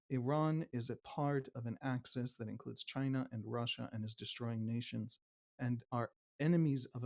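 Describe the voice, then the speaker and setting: A man's voice: medium pitch, a little mellow. A man with a Wisconsin accent, a guest analyst giving fluent, impassioned commentary that builds through lists and repetition.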